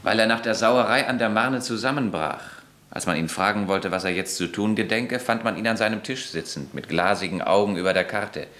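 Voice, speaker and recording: Assured young officer played by a man, low voice, roomy echo. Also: a little fast, a bit bright, natural voice. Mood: curt. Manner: curt, assured. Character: young officer son, guarded and firm. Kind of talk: radio play acting.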